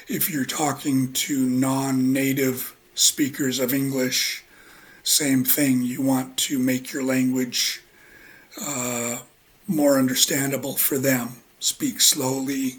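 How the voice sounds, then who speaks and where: Male English teacher, husky voice, unhurried, halting lecture delivery. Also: medium pitch, a bit bright. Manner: slow, halting, with many ums and uhs. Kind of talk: relaxed spoken lecture.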